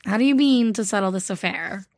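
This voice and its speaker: A woman's voice: medium pitch, a little mellow, lightly acted. A woman reading aloud, voicing a frank, spirited young woman who coaxes and scolds a friend. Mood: coaxing. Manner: coaxing, brisk.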